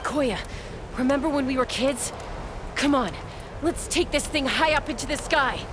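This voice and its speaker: A woman's voice: high voice, normal speed, heavy background noise, lightly acted. An actress playing a bold, stubborn young female peacekeeper in a video game, with a folksy, brash and protective manner. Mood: reassuring.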